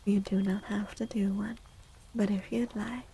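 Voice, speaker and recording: A soft-spoken woman hosting an ASMR livestream, gentle and unhurried, with a breathy, often whispered voice. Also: medium pitch, little pitch movement, a little hiss or hum. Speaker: livestream host and tarot reader.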